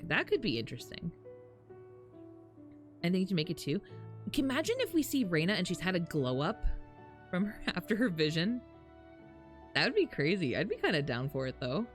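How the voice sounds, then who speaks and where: A woman livestreaming a game, chatting casually with viewers in a sweary, informal way. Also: low voice. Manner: sweary, prone to laughing. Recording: faint game music behind. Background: faint music.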